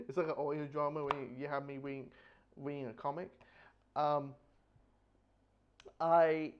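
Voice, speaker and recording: A man giving a casual, rambling review, with halting delivery, frequent false starts and repeated words. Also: a little high, little pitch movement, background noise. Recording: dull lo-fi microphone sound.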